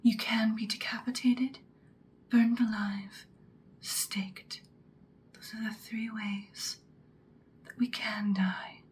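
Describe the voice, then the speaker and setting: A woman playing an ancient, powerful female vampire in an ASMR roleplay, whispering breathily in an intimate, calmly menacing, seductive way. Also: on the high side, slight background noise. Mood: calm, instructive. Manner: slow, deliberate, intimate. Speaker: ASMR performer.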